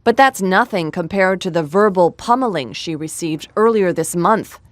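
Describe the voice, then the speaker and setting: A female TV reporter with a fairly low voice reads her scripted report in a crisp, composed manner. She sounds steady and professional. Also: moderate pace, background noise. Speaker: television news reporter.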